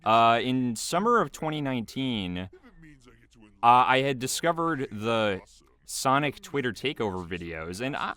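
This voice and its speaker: A man's voice: mid-range voice, bright, forceful tone. A man giving casual behind-the-scenes commentary as a video creator, rambling loosely in a small homemade booth.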